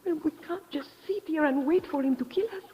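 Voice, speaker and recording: A frightened heroine of a vintage radio thriller, acted by a woman, urgent and Spanish-accented. Her voice is extremely high, in long reverb on a muffled, hissy recording. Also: fairly quick, lightly acted. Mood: anxious. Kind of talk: 1950s radio drama acting.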